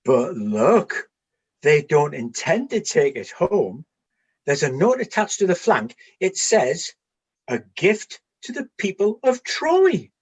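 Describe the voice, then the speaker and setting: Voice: mid-range voice, big pitch swings, slow, breathy edge, exaggerated voice. An actor playing an observant, matter-of-fact Trojan soldier in a comic radio play, speaking conversationally. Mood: puzzled.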